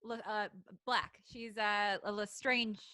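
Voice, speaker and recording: A woman's voice: medium pitch, steady tone, slow pace, pushed and bright. A woman podcast co-host chatting casually, jumping in with short, playful reactions. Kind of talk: casual banter.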